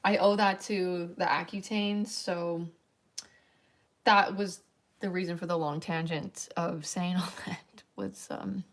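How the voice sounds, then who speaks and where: A young woman with a raspy voice, tired and a little worn at a late hour, chatting in a rambling, filler-heavy way as she answers her stream's chat. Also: lowish voice, fairly even intonation, takes it slowly. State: tired, voice wearing thin late at night. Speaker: livestreamer. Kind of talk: late-night casual stream chat, reading chat aloud.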